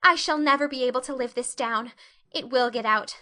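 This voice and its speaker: A woman voicing an imaginative young orphan girl who talks in a high, breathless, dramatic way. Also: a little fast, bright, forceful tone. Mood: tearful.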